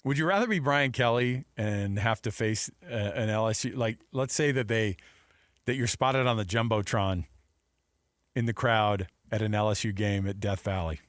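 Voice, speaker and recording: A man's voice: fairly low pitch, normal speed, fairly bright tone, dry recording, studio quality. A man co-hosting a sports radio show with casual, sarcastic banter and a joking manner. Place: radio studio.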